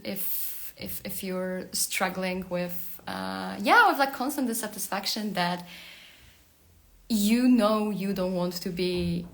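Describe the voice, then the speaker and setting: Woman in her thirties, mid pitch, creaky edges, rambling live-stream chat into a phone. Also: a bit bright. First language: Polish. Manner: chatty and rambling, with many fillers and restarts. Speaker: career coach hosting a live stream. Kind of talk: casual live-stream monologue.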